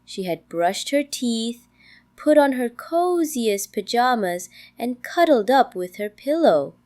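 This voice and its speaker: Female bedtime narrator, calm and soothing, extremely unhurried delivery, expressive melodic intonation. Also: on the high side. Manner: calm, gentle, unhurried with frequent pauses. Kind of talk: slow, soothing scripted bedtime storytelling for toddlers.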